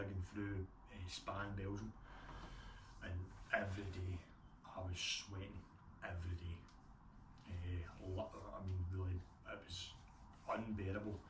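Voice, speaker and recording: A man with a broad Scottish accent giving a casual, unscripted gear review in a plain-spoken way. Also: sits low. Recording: roomy echo, distant mic, clear background noise.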